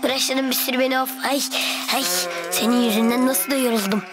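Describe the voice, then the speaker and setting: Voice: high-pitched, fairly even intonation, brisk, loud music behind the voice, natural voice. A performer playing a grumpy, irritable young shepherd in a children's cartoon story. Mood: grumpy, exasperated.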